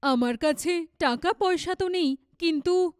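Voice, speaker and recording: A woman's voice: very high-pitched, a little slow, no echo, studio quality. A woman playing a poor, humble but proud widowed mother in a cartoon story, speaking earnestly and emphatically. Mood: pleading. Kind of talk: dramatic cartoon story dialogue.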